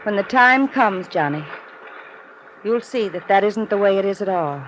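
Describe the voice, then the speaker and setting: A woman's voice: mid-range voice, expressive, moderate pace, close to an everyday voice. An actress playing an anxious young woman in a crime story, hesitant and pleading with many restarts, in a 1950s radio drama on an old noisy recording. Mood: hurt.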